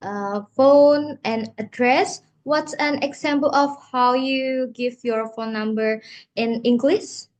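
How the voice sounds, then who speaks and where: A young woman co-hosts a casual chat podcast. Her voice is fairly high and her delivery is unhurried, and she leads the questions with friendly energy and frequent "uh" fillers. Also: steady tone. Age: young adult. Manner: upbeat but halting, many uhs. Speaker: co-host.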